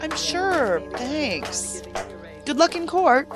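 A woman's voice: high-pitched, sing-song, very slow, over music, exaggerated voice. An actress playing a wry, stubborn female field agent in an audio drama, with a dry, teasing manner. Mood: dry, businesslike.

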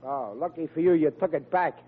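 Guy acting as a naive dopey young man, highish voice, old tinny radio show. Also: natural voice. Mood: indignant. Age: young adult. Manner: naive, earnest, slow-witted. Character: hapless, earnest, dim-witted young would-be policeman. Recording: tinny vintage radio recording with hum.

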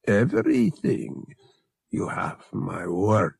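A man's voice: on the low side, huge pitch swings, slow, vocal fry, a little mellow, husky, dry recording, clean studio sound, exaggerated voice. A man voicing a sly, menacing older captain in an audiobook, with a folksy, wheedling drawl that coaxes and taunts. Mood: coaxing, soothing.

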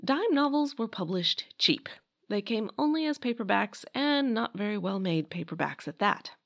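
A woman's voice: mid-range voice, lively intonation, normal speed, gravelly, a bit bright. A woman narrating a scripted history talk in a conversational way, with wry asides. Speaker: podcast host and narrator.

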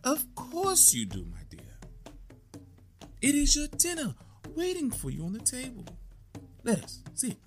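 A man voicing the devil's kindly, sly wife in a high, fluttery way. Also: huge pitch swings, unhurried, pauses often, soft background music, exaggerated voice. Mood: conspiratorial.

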